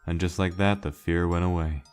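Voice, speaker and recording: A man voicing a weary, guilt-laden skeleton joker in a dramatic fan comic dub, telling his story in a halting, wistful way. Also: deep voice, steady tone, darkish tone, faint music behind, natural voice. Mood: wistful, reflective.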